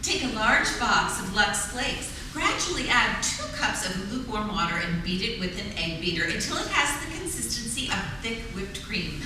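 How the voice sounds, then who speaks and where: A woman playing a cheery 1940s radio pitchwoman giving scripted homemaking tips. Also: big pitch swings, normal speed, few pauses, close to an everyday voice. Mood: cheery, instructive. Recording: distant, echoey, noisy hall.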